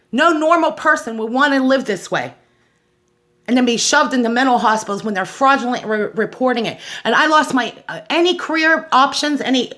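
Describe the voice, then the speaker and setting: A woman's voice: on the high side, fairly bright tone. A middle-aged American woman giving an unscripted personal monologue, speaking in an aggrieved, emphatic way and repeating phrases for stress. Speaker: host of a personal awareness show.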